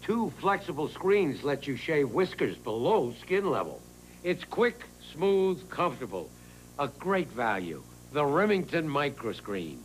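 Man, commercial voice-over, polished and smooth, lively pitch, slight hum behind. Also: measured pace, poor audio. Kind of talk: product advertisement narration.

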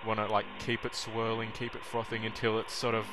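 A man's voice: on the low side, monotone, brisk. A young man giving an informal, self-deprecating how-to narration. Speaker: vlogger demonstrating how to make coffee. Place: small home kitchen, indoors. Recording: noisy kitchen recording with clicks and clatter.

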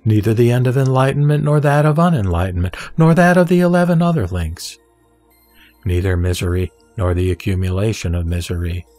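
A man reciting a sutra as a solemn scripted reading, in measured, deliberate phrases with pauses. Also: on the low side, a little mellow, no echo. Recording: background music under the voice. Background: music.

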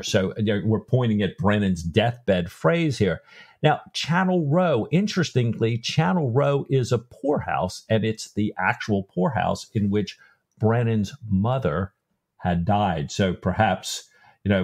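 A man's voice: on the low side, a little mellow, breathy edge. A man co-hosting a podcast, telling a historical anecdote with enthusiasm. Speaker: podcast co-host. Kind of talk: casual podcast discussion.

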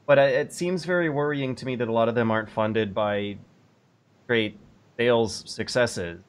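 A man at a middle pitch hosts a casual livestream chat from his home room. He speaks over a video call, musing aloud and restarting his sentences as he thinks. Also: normal speed, clear, resonant tone. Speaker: podcast host.

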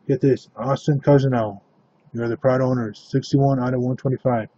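A man hosting a live trading card break, announcing cards and teams in a steady, matter-of-fact way. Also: medium pitch, moderate pace, dull audio, a little hiss or hum.

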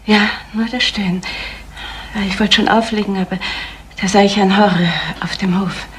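Casting: actress as earnest secretary witness, medium-pitched woman, precise answers, old crime radio play with light noise. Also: fairly even intonation, moderate pace, close to an everyday voice. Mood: recounting. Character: earnest female secretary giving witness testimony. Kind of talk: retro German radio crime drama acting. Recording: noisy vintage radio play recording.

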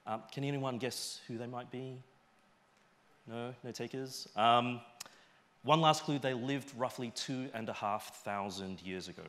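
Conference speaker: male, middle-aged, Australian accent, medium pitch, bright and forceful tone, conversational delivery. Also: a little slow. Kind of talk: informal stage presentation. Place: large auditorium stage, indoors.

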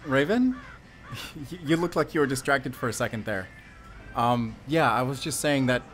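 A man's voice: mid-range voice, animated, moderate pace, fairly bright tone, faint music behind, lightly acted. A man playing a friendly, playful young father in an intimate audio roleplay, chatting with bashful chuckles. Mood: worried. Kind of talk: intimate audio roleplay acting, addressing the listener.

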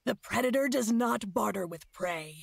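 Husky, creaky feral huntress. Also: female voice, fairly high pitch, lively intonation, no echo, exaggerated voice. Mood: grave, measured. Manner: slow, cryptic, solemn speech. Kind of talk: fantasy game character acting.

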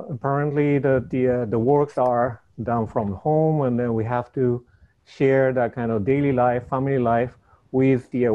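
A middle-aged man with a Japanese accent speaks informally on a panel video call, halting as he searches for words. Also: medium pitch, warm tone. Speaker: architect, panelist. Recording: slightly dull video-call audio.

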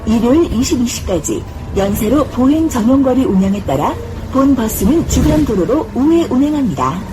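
A woman's voice: on the high side, sing-song, moderate pace. A woman reading scripted public information notices formally and politely for bus passengers. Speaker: bus safety notice announcer. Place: played over speakers inside a moving bus. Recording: loud vehicle noise over announcement. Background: loud bus engine and road noise.

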